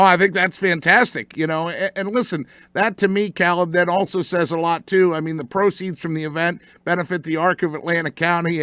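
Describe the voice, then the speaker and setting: A man's voice: fairly high pitch, fairly bright tone, clean tone, no echo. An older American man hosting a sports radio show, chatting casually and telling stories with many fillers. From United States.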